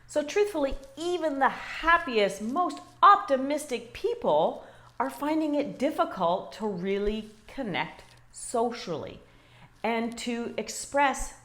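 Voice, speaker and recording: A chatty woman vlogger with a loud voice speaks straight to her viewers. Her pitch swings up and down a lot as she talks, and she keeps asking them questions. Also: expressive, takes it slowly. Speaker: wellbeing coach and vlogger. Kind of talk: casual direct-to-camera talk.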